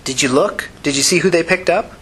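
A male narrator puts on a scrappy young woman of the streets for an audiobook, pitched up and bright. The delivery is blunt, casual and questioning, over a faint background noise. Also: animated, quick pace. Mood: eager, probing.

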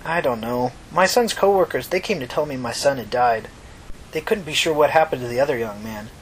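A man's voice: medium pitch, brisk, slight background noise, natural voice, rough recording. A man voicing a kindly, talkative old mother from New York in a story reading, folksy, reflective and reassuring. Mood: sad, reflective. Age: older.

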